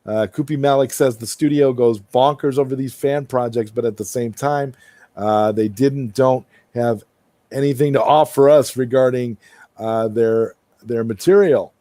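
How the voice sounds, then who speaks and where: A man's voice: medium pitch, steady tone, a little slow, mellow, no echo. A man hosting a livestream, chatting casually and answering viewer comments, often repeating and rephrasing himself as he thinks aloud. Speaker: livestream host.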